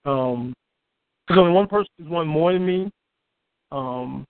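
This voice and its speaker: A man from Chicago, a poet and storyteller, telling his story in an interview with a booming voice and an outspoken, repetitive manner. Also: unhurried, clean tone, no room sound. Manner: outspoken, repeats words when excited. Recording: tinny phone-like line, dry.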